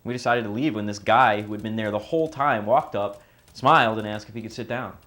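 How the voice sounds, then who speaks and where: An actor playing an uneasy man giving a halting, understated account in a naturalistic documentary interview style. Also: fairly low pitch, a bit bright, natural voice. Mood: reflective. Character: uneasy man recounting meeting his secret half-brother.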